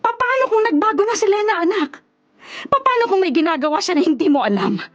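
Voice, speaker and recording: A woman's voice: extremely high pitch, very animated, a little fast, highs cut off. An actress playing an older, worried and caring Filipino mother in a radio drama, fussing and nagging at her grown son. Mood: reproachful, worried.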